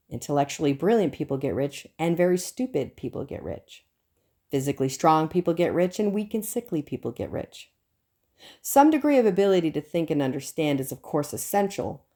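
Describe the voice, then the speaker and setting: A woman reading a self-help audiobook aloud in a deliberate, emphatic narrating manner. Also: low-pitched, mellow. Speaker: audiobook reader.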